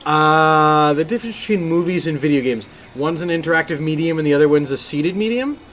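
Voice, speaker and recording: A male podcast co-host with a fairly high, very lively voice that swings up and down. He chats casually and jokes with mock-formal phrasing. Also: a little fast, a little hiss or hum. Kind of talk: casual bantering chat. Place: inside a game store.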